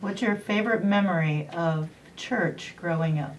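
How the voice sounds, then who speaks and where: A woman pastor interviewing an elder, asking short questions and offering soft affirmations. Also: on the low side, a little slow, a little hiss or hum. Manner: gentle prompting with short affirmations. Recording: voice distant from the mic.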